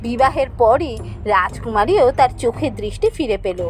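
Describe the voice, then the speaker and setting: A woman's voice: fairly high pitch, expressive, moderate pace. A woman narrating a scripted fairy tale for a cartoon as its storyteller. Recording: music under the voice.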